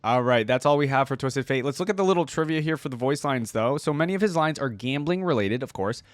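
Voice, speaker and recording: A man's voice: mid-range voice, animated, quick pace, no room sound. Casual male reactor, dry close sound. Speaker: reaction video host.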